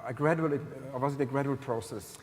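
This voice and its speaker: A man's voice: medium pitch, fairly quick. A man interviewing guests onstage, asking questions in a polite, hesitant way. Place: conference hall stage. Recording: long hall reverb.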